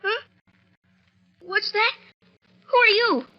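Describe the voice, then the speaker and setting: Woman doing scared whimpering little boy, super high bright voice, polite, muffled old radio drama. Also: slow, soft background music. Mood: scared, tearful. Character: frightened, tearful young boy held captive, polite. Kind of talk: 1930s radio thriller acting.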